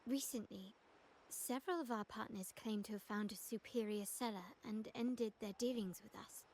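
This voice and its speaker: A woman voicing an elegant, composed young noblewoman in a video game, speaking in a measured, refined way over background music. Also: mid-range voice, darkish tone, close to an everyday voice. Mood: grave, measured.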